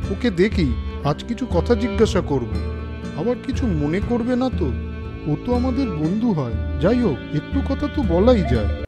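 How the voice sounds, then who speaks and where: An earnest, kindly schoolboy acted by a man from a children's moral cartoon. The voice sits high and moves around in lively swoops, expressive and caring, with music playing clearly underneath. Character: earnest, kind schoolboy, caring toward classmates.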